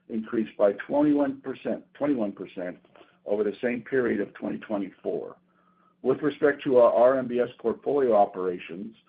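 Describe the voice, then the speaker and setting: A man reading prepared company results on an earnings call in a formal, measured, businesslike way. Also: on the low side, slightly rough. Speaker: company executive presenting quarterly results. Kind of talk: prepared remarks read from a script on an earnings call. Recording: thin, tinny phone conference line.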